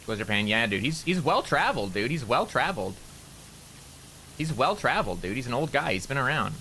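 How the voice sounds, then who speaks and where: A man's voice: medium pitch, big pitch swings, a little fast, sharp tone, slight background noise, low-quality recording. A man streaming while he plays a game, chatting casually and rambling with plenty of "like" fillers. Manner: rambling, loose talk full of "like" fillers. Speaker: VTuber streamer.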